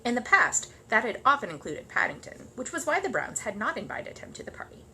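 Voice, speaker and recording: Bright, lively woman reading a storybook. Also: on the high side, fairly quick, slight background noise. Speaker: children's story reader. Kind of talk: animated storybook narration.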